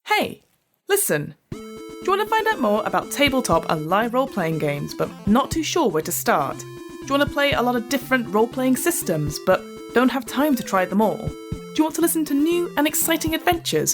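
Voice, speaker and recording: A woman presenting a scripted podcast promo, addressing the listener directly. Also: fairly high pitch. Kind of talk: scripted promo over music. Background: music bed.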